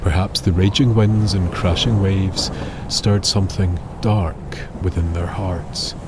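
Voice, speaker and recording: A man narrating an eerie scripted tale in a solemn, measured, dramatic way with pauses. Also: deep voice, steady tone, noisy background. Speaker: storyteller, narrator. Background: music.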